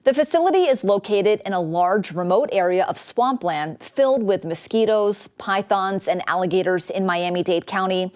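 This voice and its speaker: A woman's voice: medium pitch, darkish tone. A woman with an American accent delivering scripted news narration as a reporter.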